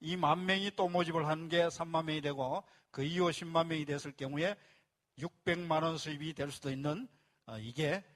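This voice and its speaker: A man's voice: fairly high pitch, steady tone, normal speed, a bit bright. A man presenting a membership plan to a live audience, explaining step by step and repeating key phrases. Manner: speaks to a live audience and asks for applause.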